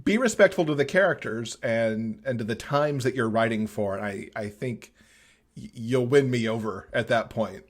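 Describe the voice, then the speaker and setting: A man's voice: medium pitch, normal speed. A man giving his opinions as a guest in a casual book discussion on a podcast.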